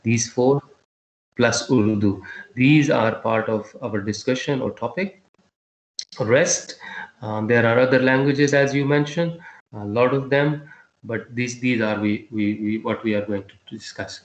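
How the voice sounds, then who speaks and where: A male teacher giving a class lesson, quizzing his students, with a halting delivery full of fillers and restarts. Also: mid-range voice, reverberant, rough recording.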